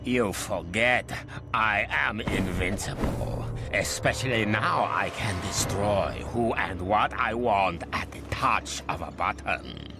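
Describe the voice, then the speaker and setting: A man's voice: lowish voice, slow. A man playing a pompous, megalomaniac dictator in a video game, speaking in a grandiose, theatrical way. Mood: gloating menace. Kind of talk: video game cutscene acting. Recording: music behind the voice.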